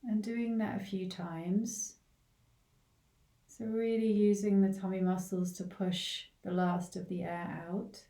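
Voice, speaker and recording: A woman leading a guided body scan meditation in a gentle, unhurried way, leaving long pauses between short phrases. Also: medium pitch, little pitch movement. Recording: roomy, echoing, distant from the mic.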